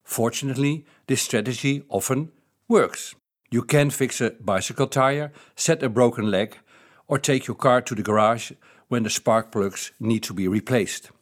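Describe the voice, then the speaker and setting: A man from the Netherlands speaks English with a Dutch accent, laying out ideas in a steady, explanatory way. His voice sits at a middling pitch, and he slows down to stress key phrases. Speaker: author. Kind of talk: reading his own book's ideas aloud.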